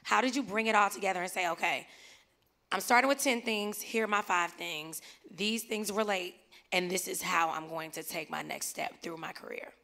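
A woman's voice: fairly low pitch, normal speed, gravelly, pushed and bright. A woman moderating a live conference panel on stage with a handheld mic, playful and teasing as she talks to guests and the crowd. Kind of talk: lively live panel hosting.

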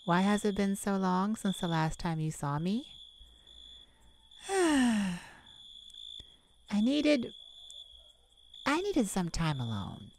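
A woman voicing a playful, flirtatious immortal vampire in an intimate ASMR roleplay, teasing and dramatic with lingering pauses. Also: mid-range voice, big pitch swings, darkish tone, slight background noise. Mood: gently reflective.

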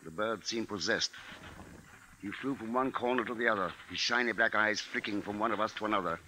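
A man's voice: on the low side, brisk, bright, forceful tone, slight background noise, poor audio. An actor playing a grave, anxious father who narrates dramatically in a 1950s radio drama.

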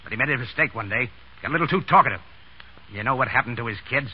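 Fast-talking, brash tough guy. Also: medium pitch, lively intonation, husky. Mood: menacing, tough. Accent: American. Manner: clipped, slangy, commanding. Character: brash, wisecracking tough man, quick-thinking and bossy. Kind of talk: 1930s radio acting. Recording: dull, noisy 1930s radio recording.

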